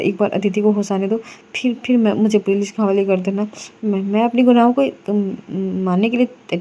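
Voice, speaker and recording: A woman's voice: on the high side, mellow, lo-fi sound, close to an everyday voice. A woman voicing a guilt-ridden young woman who pleads and stammers in a storybook tale. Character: remorseful young woman Sheetal, begging forgiveness.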